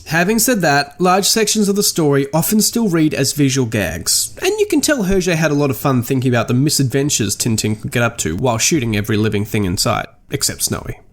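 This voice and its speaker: A man's voice: medium pitch, big pitch swings, fairly quick, hardly any pauses. A man narrating a scripted video essay as a podcast host, with dry, wry understatement and articulate, formal wording.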